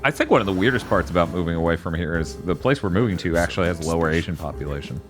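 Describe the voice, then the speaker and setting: A man's voice: low-pitched, expressive, very fast, music in the background. A man from the United States hosting a game stream, chatting casually and joking, with frequent laughs and swearing. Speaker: streamer host. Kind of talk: casual chat over gameplay.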